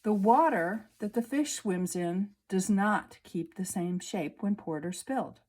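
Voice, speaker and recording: Teacher lady reading a kids science book out loud at the table, careful, clear, unhurried. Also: mid-range voice. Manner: slow, clear storybook reading. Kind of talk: read-aloud lesson for children. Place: at a table indoors, reading printed pages.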